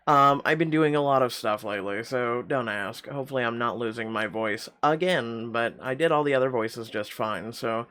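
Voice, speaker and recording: A man's voice: medium pitch, normal speed, hardly any pauses, sharp tone. A middle-aged man with a slightly tight throat, reading a story game aloud and rambling with wry asides and many fillers. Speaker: game channel host.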